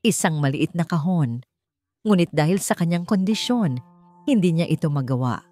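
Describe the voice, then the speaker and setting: Low-voiced woman narrating a romance over music. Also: animated, moderate pace, dark tone. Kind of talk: scripted dramatic storytelling narration. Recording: music under the voice. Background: background music.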